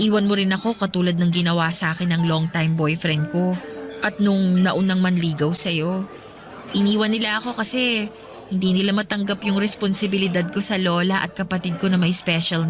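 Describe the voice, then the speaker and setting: A woman's voice: medium pitch, lightly acted. An actress playing a young working woman who hesitantly and apologetically explains why she is not ready for romance. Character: young working woman caring for her family. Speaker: radio drama actress. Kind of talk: radio drama acting.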